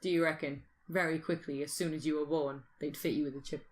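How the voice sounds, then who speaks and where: Low-voiced British woman chatting casually. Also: fairly even intonation, fast. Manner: informal, musing, thinks aloud. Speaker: podcast host. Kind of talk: casual speculative chat.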